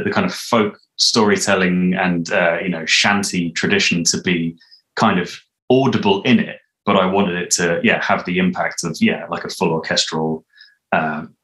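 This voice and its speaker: A man in his thirties, talking thoughtfully and haltingly as an interview guest on a video call. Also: low-pitched, fairly bright tone. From United Kingdom. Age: 30s. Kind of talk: casual interview chat. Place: living room, indoors. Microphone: video call, computer mic at arm's length. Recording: echoey room.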